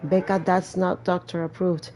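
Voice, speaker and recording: A woman's voice: lowish voice, flat delivery, normal speed, darkish tone, slight background noise. A woman hosting a gaming video, reading game text aloud and breaking off for wry, blunt, casual asides. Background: faint game music.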